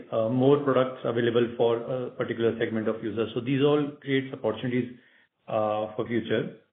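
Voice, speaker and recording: A man with an Indian accent, a company executive giving halting, measured, unscripted answers on a business call. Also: medium pitch, phone-quality sound. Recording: echoey, band-limited conference call line.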